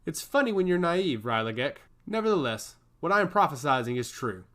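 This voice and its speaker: Man acting god-touched schemer, fantasy story, smug, needling, theatrical, highly varied intonation. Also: very animated, fairly quick, fairly bright tone. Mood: gloating. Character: smug, teasing god-touched male emissary, giggling schemer. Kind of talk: fantasy audio story character.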